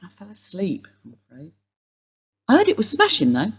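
A husky, guarded elderly suspect. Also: female voice, medium pitch, wildly swooping pitch, moderate pace, exaggerated voice. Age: older. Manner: guarded, dry, wistful. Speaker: police interview suspect. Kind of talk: radio play acting.

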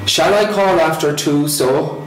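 Man acting a slow, polite Irish caller. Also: a little high, close to an everyday voice. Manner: careful, deliberate, polite enunciation. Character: polite male job seeker phoning a company. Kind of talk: scripted language-lesson dialogue. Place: small bare indoor room. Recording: echoey room, clear background noise.

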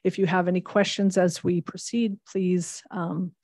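Woman finance presenter, low and mellow, measured and explanatory, dry table-mic sound. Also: little pitch movement, no room sound. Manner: measured, explanatory, reads long figures. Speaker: municipal finance staff presenting a budget. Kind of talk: formal budget presentation. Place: large council chamber.

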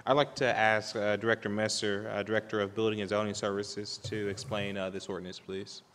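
A man presides over a city council from a desk microphone, his voice low and formal as he reads out ordinances. A long reverb from the large chamber trails each phrase. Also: little pitch movement, fairly quick, a bit bright, slight background noise. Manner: polite and procedural, reading ordinance text aloud.

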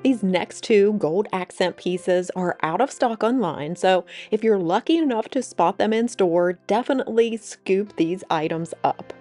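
A woman's voice: mid-range voice, unhurried, few pauses, a little mellow. A woman hosting a home decor channel, narrating a shop-with-me video in an upbeat, gushing voice-over. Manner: upbeat, gushing product walkthrough. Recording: voice-over with faint background music.

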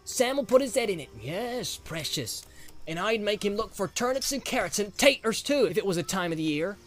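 A man's voice: sits high, lively intonation, a little fast, faint music behind, voice pushed up. A man voicing a plain-spoken, stubborn young hobbit servant in an audiobook. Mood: growling, threatening. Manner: folksy, scolding, practical. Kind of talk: dramatised audiobook character voice. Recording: clear outdoor ambience noise behind.

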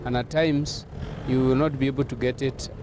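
A middle-aged man from Kenya, an energy official, speaking formally outdoors with frequent pauses. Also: medium pitch, fairly even intonation, background noise. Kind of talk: outdoor news interview. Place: outdoors at a wind farm.

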